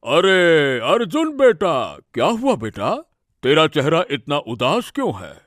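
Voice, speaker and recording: A man plays an older village uncle in a cartoon moral tale. He drags his words out slowly at a slightly raised pitch, halting and apologetic yet firm. Soft music sits behind him. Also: huge pitch swings, no room sound, clean studio sound, full character voice. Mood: concerned. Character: reluctant older village uncle refusing to help. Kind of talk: Hindi cartoon moral story acting.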